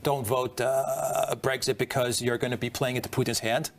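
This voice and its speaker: A man's voice: mid-range voice, steady tone, normal speed, vocal fry. A man arguing as a guest on a political panel, driving his point home with emphatic repetition.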